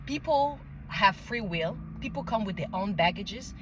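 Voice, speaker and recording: French accent woman vlogging off the cuff, emphatic, mid pitch, bit of noise in the background. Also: expressive, unhurried. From France and Mali. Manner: emphatic, often checks in with "okay?" and "right?". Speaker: spiritual vlogger sharing personal story. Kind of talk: casual unscripted personal storytelling.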